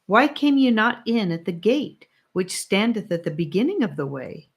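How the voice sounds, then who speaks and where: A woman's voice: medium pitch, big pitch swings, moderate pace, husky. A woman voicing an earnest, pious male pilgrim in an audiobook, speaking solemn, exclamatory archaic English. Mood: earnest questioning.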